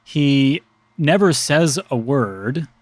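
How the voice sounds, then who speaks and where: A man speaking as a priest and podcast guest, explaining religious customs conversationally in measured, scholarly, precise wording. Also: mid-range voice, expressive, no echo.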